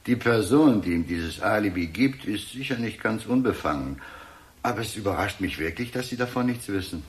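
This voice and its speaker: An actor playing a composed, talkative police chief inspector in a German radio drama, speaking in a measured, wry, placating manner. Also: male voice, on the low side, lively intonation, close to an everyday voice. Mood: measured, patronizing.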